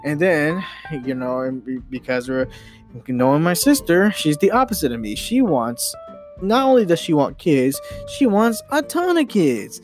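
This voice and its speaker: A young man hosting a podcast in a rambling, opinionated monologue, halting and repeating phrases with emphatic, mildly sweary delivery. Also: a little high, big pitch swings. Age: young adult. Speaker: podcast host. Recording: guitar music behind the voice. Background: guitar music.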